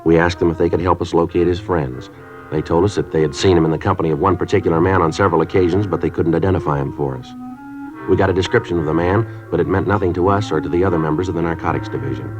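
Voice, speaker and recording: A man playing a stern, deep-voiced police sergeant. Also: rapid-fire, close to an everyday voice. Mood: flat narration. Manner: clipped, stern, matter-of-fact. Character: stern middle-aged male police sergeant. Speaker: detective narrator. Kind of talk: 1950s radio acting. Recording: dull old radio recording with music.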